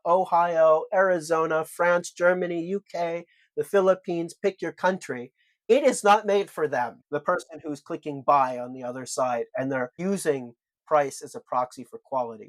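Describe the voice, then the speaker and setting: Man, fairly high voice, dry close sound, emphatic podcast co-host, lists his points. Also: no room sound. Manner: emphatic, builds arguments in lists. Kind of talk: argumentative podcast discussion.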